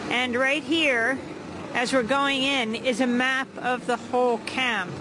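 A woman's voice: high-pitched, steady tone, slow. A woman field reporter describing the scene on location as she walks, recorded outdoors. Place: outdoors at a protest or camp. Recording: outdoor field recording with loud crowd and street noise.

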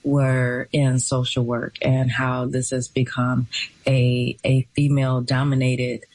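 A woman hosting a call-in radio show, speaking in a warm, affirming and unhurried way. Her voice is slightly breathy and sits at a middle pitch, recorded dry and close. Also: monotone, dry recording, poor audio. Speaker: radio talk show host.

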